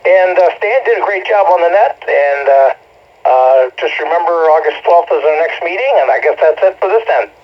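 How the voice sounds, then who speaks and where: A man's voice: a little high, animated, moderate pace. A man making casual club announcements as an amateur radio operator over a two-way radio. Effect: two-way radio transmission. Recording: muffled ham radio signal.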